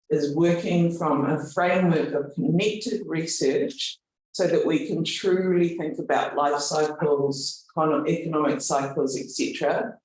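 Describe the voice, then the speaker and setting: A man's voice: a little high, darkish tone. A man with a New Zealand accent gives a formal launch speech as a university leader, earnest but slipping in light self-deprecating jokes. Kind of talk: formal welcome speech with jokey asides. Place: large event room with an audience. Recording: very echoey room, voice from far off.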